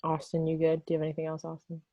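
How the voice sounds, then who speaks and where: A woman's voice: deep voice, monotone, rapid-fire, mellow, no room sound. A woman casually asking questions as a participant in an online meeting over a video call.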